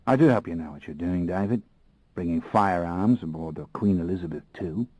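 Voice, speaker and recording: A man voicing a tall, alert, suspicious Australian fixer with an Australian accent and a brisk, businesslike manner. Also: deep voice, darkish tone, dry recording.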